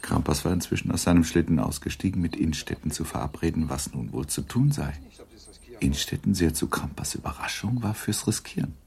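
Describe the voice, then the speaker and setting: Very deep, gravelly male narrator. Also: a little fast, darkish tone. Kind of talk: scripted literary narration for radio drama.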